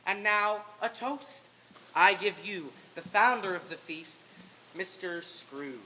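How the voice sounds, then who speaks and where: A woman's voice: on the low side, lively intonation, slow pace, pushed and bright, a little hiss or hum, exaggerated voice. Woman playing a kindly, cheerful father, echoing hall. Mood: toasting. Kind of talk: old time radio stage reading. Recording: reverberant hall.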